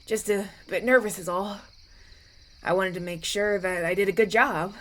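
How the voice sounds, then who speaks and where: A man's voice: sits high, fairly even intonation, moderate pace, a bit bright. A man playing a polite, anxious young runaway prince in an audio roleplay, stammering and hesitant as he talks. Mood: nervous, eager. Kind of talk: ASMR audio roleplay, acted one-sided dialogue.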